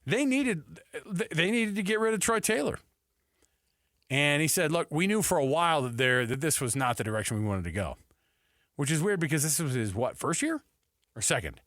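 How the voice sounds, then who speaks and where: A man's voice: on the high side, big pitch swings, normal speed, fairly bright tone, no echo. A man hosting a sports radio show, talking casually and repeating phrases for emphasis into a close studio microphone. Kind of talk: casual sports talk commentary.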